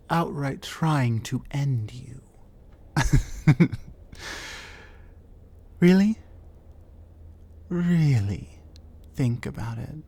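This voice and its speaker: A large, territorial female cave troll, as a woman plays her in an ASMR audio roleplay. She talks low and slow, with a dark, mellow tone. Her manner is scornful and lecturing. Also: heavily acted.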